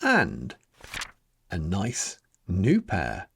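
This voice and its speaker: A man reading a children's picture book aloud as its author, in a dramatic, deliberate storytelling manner with emphatic pauses. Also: low-pitched, huge pitch swings, creaky, slight background noise. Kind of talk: expressive children's story narration.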